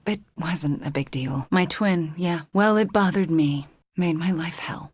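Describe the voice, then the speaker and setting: A woman playing a brooding, remorseful young sorceress superhero in a video game, speaking with a soft, breathy, earnest voice. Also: lowish voice, no echo, studio quality. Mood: earnest, subdued. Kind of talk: dramatic video game character dialogue.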